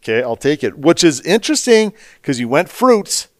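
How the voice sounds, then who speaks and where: A man hosting a casual interview, chatty and folksy, firing off quick questions and encouraging replies. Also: on the high side, very animated, dry recording, clean studio sound. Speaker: podcast host.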